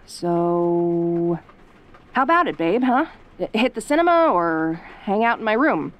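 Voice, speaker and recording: A woman playing a sassy, easily flustered young tomboy girlfriend, sarcastic and haltingly defensive, in an audio roleplay. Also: on the low side, lively intonation. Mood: sheepish, wry.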